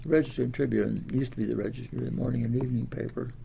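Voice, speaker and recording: A very old man from Iowa, ninety years of age, recalls his life in a gravelly, mellow voice. He rambles along with fillers and restarts. Faint room ambience sits behind him. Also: mid-range voice, fairly even intonation, racing, a little hiss or hum, rough recording. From Des Moines, Iowa. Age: 90s. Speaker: interviewee. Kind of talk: oral history interview.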